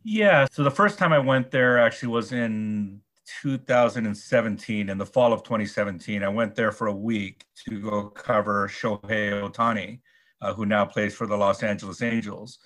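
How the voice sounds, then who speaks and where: A man, a sports columnist guest, answering interview questions casually with many pauses, fillers and restarts. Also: fairly low pitch, fairly bright tone.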